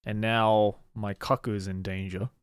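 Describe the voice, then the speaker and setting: Low-ish guy on a stream, chatty and a bit unsure of himself, laughs at himself, dry close sound. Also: level tone, clean tone, dry recording. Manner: hesitant, self-deprecating, quick to laugh. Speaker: streamer learning shogi. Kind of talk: casual stream chat.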